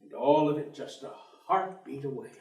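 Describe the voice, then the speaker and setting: A man's voice: a little high, moderate pace, natural voice. A man on stage playing a bitter, articulate atheist professor who longs for death, in a theatrical declamatory delivery. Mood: grim yearning. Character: bleak, bitter, intellectual atheist male professor longing for death. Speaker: stage actor in a play. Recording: echoey theatre stage, distant microphone.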